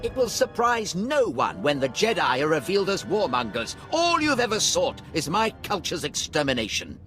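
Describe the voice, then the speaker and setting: A man's voice: high-pitched, huge pitch swings, normal speed, sharp tone, full character voice. An actor playing a bitter, indignant Imperial scientist in a video game, haughty and dramatic in his accusations. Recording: music under the voice.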